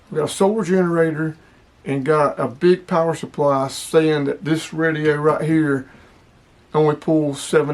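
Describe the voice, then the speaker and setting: A man speaks in a voice pitched a little higher than average. He sounds like a hobbyist vlogger walking through a product demonstration. His delivery is casual, plainspoken and explanatory. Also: darkish tone.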